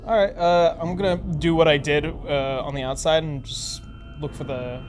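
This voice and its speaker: A tabletop roleplaying player chatting casually in and out of character, with halting phrasing. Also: mid-range voice, fairly even intonation. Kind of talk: casual in-character game chat. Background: music.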